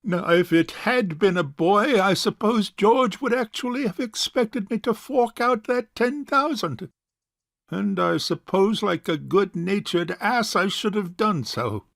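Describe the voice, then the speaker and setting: Fussy old bachelor uncle for stage farce: high-pitched, gravelly, pompous, miserly. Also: male voice, animated, measured pace, fairly bright tone, no room sound, clean studio sound, heavily acted. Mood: smugly relieved. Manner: pompous, hesitant formal speech. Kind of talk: comic stage farce acting.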